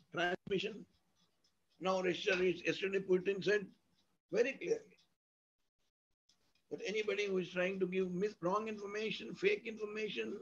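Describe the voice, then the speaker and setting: A man with an Indian accent and a husky voice gives an academic lecture, explaining with examples in an emphatic, didactic way and piling up synonyms and lists. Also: high-pitched. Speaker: university lecturer. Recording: dull lo-fi audio.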